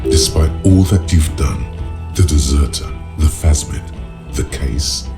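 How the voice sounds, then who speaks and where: Super deep brooding narrator voice in a game, wry and measured, music underneath. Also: male voice, exaggerated voice. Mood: musing. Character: deep brooding inner voice, sardonic male narrator. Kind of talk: scripted narration. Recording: music behind the voice.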